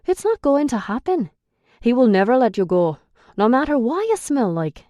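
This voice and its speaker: A woman's voice: a little high, big pitch swings, moderate pace, warm tone, dry recording, studio quality, pitched above the performer's own voice. A woman doing a sarcastic young male captor. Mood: scornful.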